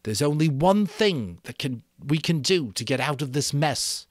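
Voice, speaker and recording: Man voicing an earnest, urgent schoolboy leader, pitched up. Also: lively intonation, a little slow, dry recording, studio quality. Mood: pleading, urgent. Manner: urgent, emphatic pleading. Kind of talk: dramatic audiobook character reading.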